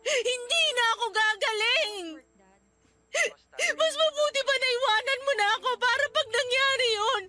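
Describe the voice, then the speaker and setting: A woman's voice: extremely high pitch, pushed and bright, full character voice. A sobbing, despairing young woman. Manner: tearful, sobbing, pushing her partner away. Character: gravely ill young woman, despairing and bitter. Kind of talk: radio drama acting.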